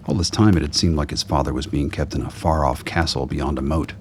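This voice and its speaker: Male narrator for scripted radio drama, extremely low bass register, clearly noisy background. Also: a little fast.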